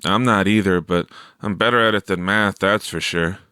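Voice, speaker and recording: A man's voice: sits low, steady tone, normal speed, bright, forceful tone, clean tone, no echo. An earnest seventh-grade boy , played by a man reading aloud. He is hopeful and plain-spoken, as if musing. The storybook recording is dry. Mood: casual.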